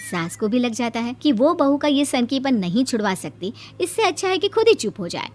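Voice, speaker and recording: A woman's voice: high voice, big pitch swings, moderate pace, a little mellow, slight background noise. A woman reading scripted narration for a children's moral story. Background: background music.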